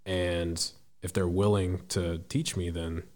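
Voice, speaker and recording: Young man with a deep voice. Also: flat delivery, a little mellow. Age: 20s. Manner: thoughtful, halting, restarts sentences. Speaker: guest. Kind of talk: casual interview.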